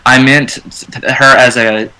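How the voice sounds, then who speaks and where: Man, very forceful bright tone, mid pitch, informal panelist, halting, self-correcting. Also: fairly even intonation, rough recording. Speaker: panelist on online radio show. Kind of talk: casual fan discussion. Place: internet call.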